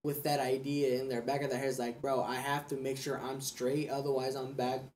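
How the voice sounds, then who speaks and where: A man's voice: mid-range voice, level tone, fairly quick, a little mellow, a little hiss or hum. A young man chatting casually on a podcast, earnest and slangy, often repeating himself for emphasis. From United States. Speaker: podcast speaker. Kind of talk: casual chat.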